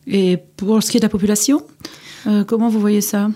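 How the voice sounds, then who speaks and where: A woman interviewer who asks gentle, prompting questions for a language course recording. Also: mid-range voice, fairly even intonation, a little fast.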